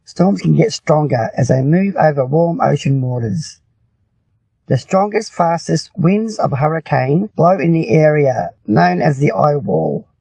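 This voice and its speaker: Male English lesson reader, slightly high but mellow, creaky, scripted delivery. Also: lively intonation, a little slow, no room sound, rough recording. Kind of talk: scripted read-aloud, explaining idioms and passages.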